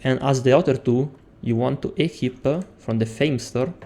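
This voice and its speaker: A young man from Italy with an Italian accent, explaining a game build step by step as a tutorial maker, pausing often with fillers. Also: medium pitch, warm tone. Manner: explains step by step with frequent hesitations. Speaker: game guide creator. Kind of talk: gameplay tutorial.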